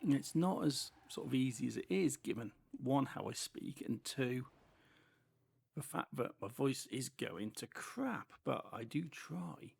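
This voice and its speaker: A British man with a hoarse, fading voice and hissy S sounds, giving casual running commentary on a racing game as he drives. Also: medium pitch, animated, unhurried, a little mellow. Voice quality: heavy sibilant S sounds. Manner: chatty running commentary while driving. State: voice going hoarse from a cold. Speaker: gaming channel host.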